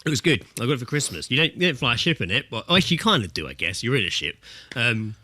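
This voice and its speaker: A man's voice: mid-range voice, sing-song, very fast, sharp tone. A British man co-hosting a podcast, chatting informally about video games with some swearing. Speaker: podcast co-host. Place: indoors, remote internet voice call. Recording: dry, close voice-call sound.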